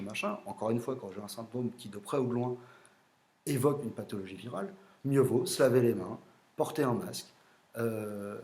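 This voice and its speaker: A French-accented man, a general practitioner, lays out his views bluntly and with strong opinions, pausing on fillers as he goes. His voice sits at an ordinary middle pitch for a man. The recording has a roomy, echoing sound. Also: a little mellow. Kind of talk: explanatory monologue.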